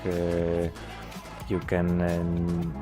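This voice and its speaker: Young Polish-accented gamer, deep and unhurried, hesitant and full of fillers, music underneath. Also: male voice, monotone. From Poland. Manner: hesitant, many filler sounds. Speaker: gaming YouTuber. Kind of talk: game update commentary.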